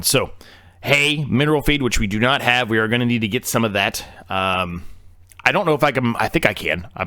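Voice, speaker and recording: A man's voice: lowish voice, bright, forceful tone, clean tone, dry recording, studio quality. A man losing his voice, giving casual, rambling commentary on a farming game with lots of fillers and restarts. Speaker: game streamer.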